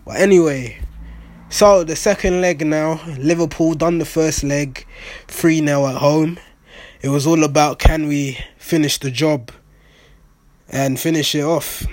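A young man whose voice is raspy and nearly gone from shouting, pitched a little higher than most men. He talks fast and blunt in a casual fan reaction, and the sound is dry and close. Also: dry recording. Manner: excitable, blunt, swears freely. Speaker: football fan vlogger. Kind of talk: casual post-match reaction monologue.

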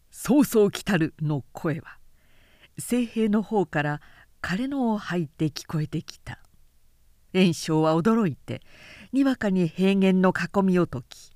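A woman reading a historical novel as a scripted audiobook, with measured, dramatic storytelling. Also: on the low side, darkish tone, no echo, clean studio sound. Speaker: narrator, literary reader.

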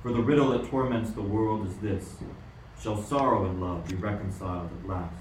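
A man's voice: lowish voice, normal speed, a little mellow, lightly acted. A man playing a solemn, sorrowful king of the poor in a radio play, grave and declamatory. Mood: sorrowful, impassioned. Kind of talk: amateur radio play acting. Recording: echoey room, distant mic, faint noise.

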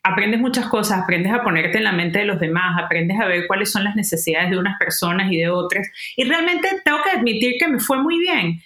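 A woman talks as an interview guest. She sounds warm and upbeat, with a mid-pitched voice. The sound is echoey and roomy. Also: fairly quick, hardly any pauses. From Venezuela. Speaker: guest, entrepreneur. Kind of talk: interview conversation.

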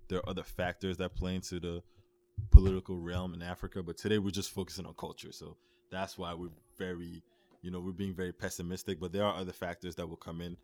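A young man from Mali co-hosting a casual podcast, speaking in even, measured asides. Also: sits low. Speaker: podcast co-host. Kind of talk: casual chat.

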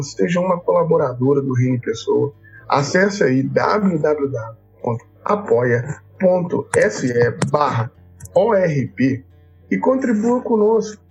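Husky friendly podcast host man. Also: on the high side, a little mellow. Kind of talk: podcast hosting. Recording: dull lo-fi recording.

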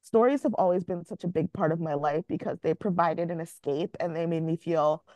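A woman's voice: lowish voice, fairly quick, warm tone, dry recording. A young woman from Jamaica, an author talking candidly in a casual podcast interview, often laughing at herself. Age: young adult. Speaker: author guest.

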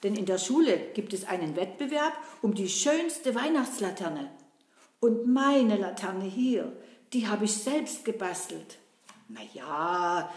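A woman voicing a cheeky, lively puppet boy who chats and teases, talking to the audience. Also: mid-range voice. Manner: chatty, teasing, addresses the audience. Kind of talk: puppet-show acting. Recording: roomy echo.

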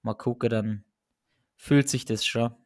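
A man's voice: mid-range voice, steady tone, a little slow. A man with a regional German dialect, hosting a podcast in an easygoing, hesitant way with loose phrasing. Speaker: podcast host. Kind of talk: casual chat.

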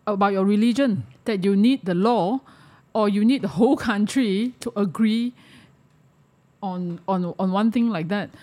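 A woman with a medium-pitched voice and a Malaysian accent. She talks informally, as in a podcast discussion. Her delivery stops and starts, and she often checks in with the listener. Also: dark tone. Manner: halting, often checks in with "you know". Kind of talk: casual discussion of social issues.

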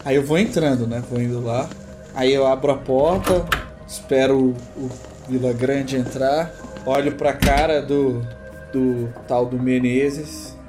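A man's voice: mid-range voice, steady tone, measured pace, over music. A Brazilian man playing a tabletop game, chatting casually and describing his character's moves. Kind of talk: casual role-play chat.

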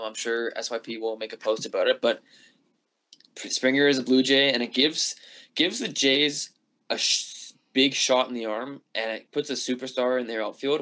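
A man's voice: medium pitch, fairly bright tone. A man, co-hosting a podcast he created, talking sports in an upbeat, offhand way. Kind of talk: casual sports chat.

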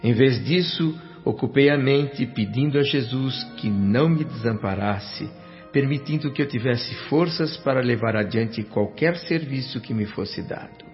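An actor playing a humble, emotional doctor's spirit who narrates a Brazilian radio drama in an earnest, reflective, reverent way. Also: male voice, medium pitch, unhurried, natural voice. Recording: dull audio with background music.

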